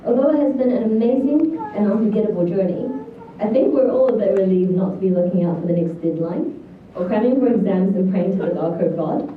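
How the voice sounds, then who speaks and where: Kiwi woman doing a grad speech, super echoey, tinny and kinda phone-like sound. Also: medium pitch. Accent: New Zealand. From New Zealand, born in China. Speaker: graduating student valedictorian. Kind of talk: prepared graduation speech. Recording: echoey hall, distant, thin lo-fi, faint noise.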